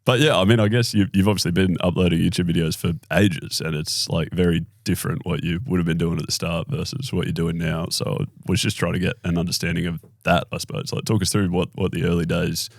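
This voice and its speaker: An Australian man co-hosts with a low, gravelly voice in an easygoing, wry way. The sound is dry and close. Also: fairly even intonation, quick pace, few pauses, no room sound. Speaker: podcast co-host. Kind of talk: casual podcast chat.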